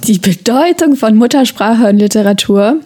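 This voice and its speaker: A woman's voice: on the high side, animated, moderate pace, dark tone. A woman co-hosting a casual podcast chat, explaining things in a teasing manner. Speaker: podcast co-host.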